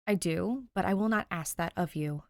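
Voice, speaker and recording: A woman's voice: fairly low pitch, moderate pace, darkish tone, no room sound, studio quality, natural voice. A woman voicing a grumpy, guarded boy with formal, clipped, disdainful speech in an audiobook-style story. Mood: stiff disapproval.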